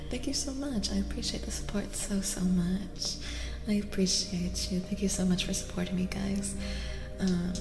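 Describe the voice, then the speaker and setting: Soft-spoken woman with reverb, often near whispering. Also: medium pitch. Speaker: streamer, tarot reader. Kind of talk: soft-spoken ASMR livestream chat. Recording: reverberant echo with music behind. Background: music.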